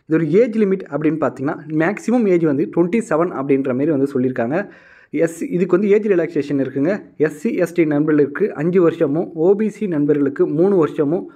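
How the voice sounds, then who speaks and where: A man's voice: a little high, warm tone, dull audio. A man presenting an informal explainer to viewers in a polite, instructive and repetitive way. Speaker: host of a job news channel.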